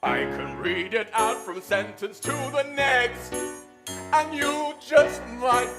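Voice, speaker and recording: A performer playing a scheming wizard villain in a comic pantomime, with a grand, drawn-out theatrical delivery. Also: medium pitch, natural voice. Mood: grand, tempting. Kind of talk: improvised comic pantomime with singing. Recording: music loud behind the voice. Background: loud musical accompaniment.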